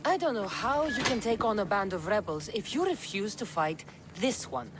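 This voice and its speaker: A woman's voice: mid-range voice, animated, moderate pace, noisy background, lightly acted. A woman playing a sardonic ancient Greek warrior in a video game, blunt and challenging. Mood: defiant. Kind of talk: cinematic video game acting.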